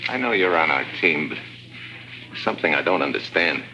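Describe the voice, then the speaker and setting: A man's voice: extremely low pitch, animated, moderate pace, background noise. An actor playing a tough, stubborn American man undercover in a prison camp, speaking in blunt slang in 1950s radio drama style. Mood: wary. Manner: blunt, slangy, hard-boiled. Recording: old radio drama with music behind.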